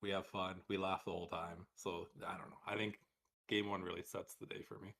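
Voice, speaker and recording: A man's voice: deep voice, flat delivery, brisk, creaky, fairly bright tone, a little hiss or hum. A man chatting casually as a panelist on a hobby podcast. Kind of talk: casual chat.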